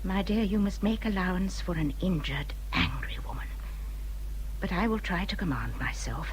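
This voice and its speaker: An actress playing an injured, bitter English widow in a period radio drama, speaking in a formal, emphatic and dramatic way. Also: female voice, on the low side, expressive, normal speed, background noise, lightly acted. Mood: controlled, confiding. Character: bitter, injured widow warning a younger friend.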